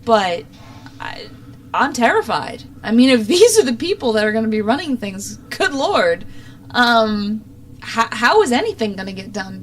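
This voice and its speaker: A woman's voice: a little high, a little hiss or hum. A young adult woman giving opinionated, casual political commentary as a talk show host, in an exasperated, sarcastic manner.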